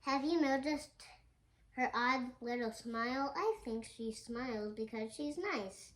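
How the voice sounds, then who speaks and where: A young girl reads a graphic novel aloud in a high voice. Her pace is slow and halting, as she sounds out words along the way. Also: a bit bright. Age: child. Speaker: young reader on a home video.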